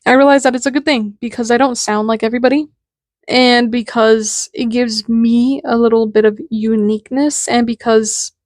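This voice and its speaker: A woman's voice: on the high side, steady tone, normal speed, a little mellow, no echo, clean studio sound. A woman in her twenties with a nasal voice, talking in a casual, rambling and candid monologue as the host. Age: 20s. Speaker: podcast host.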